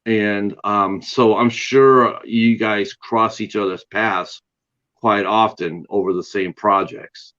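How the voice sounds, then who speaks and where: Rambling, eager man with a low voice. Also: steady tone, slow pace, a bit bright, muffled recording. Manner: enthusiastic, rambling questions. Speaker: interview host. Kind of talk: casual interview chat.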